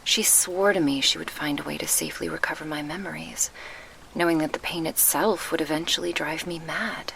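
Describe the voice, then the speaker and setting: A woman playing a gentle, wistful succubus guardian in a soft ASMR roleplay, speaking in formal, measured phrases with a breathy murmur, close to the mic. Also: deep voice, steady tone, a little hiss or hum, low-quality recording. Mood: pained, hesitant. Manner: formal, measured, old-fashioned diction. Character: gentle, wistful female succubus guardian, grieving her lost past. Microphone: close binaural microphone.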